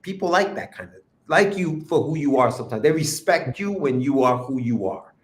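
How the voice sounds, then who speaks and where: A man from Ecuador, a guest cartoonist, chatting casually about how he came up with a character, rambling and trailing off softly. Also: a little high, animated, moderate pace, echoey room. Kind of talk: casual interview chat. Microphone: remote livestream call.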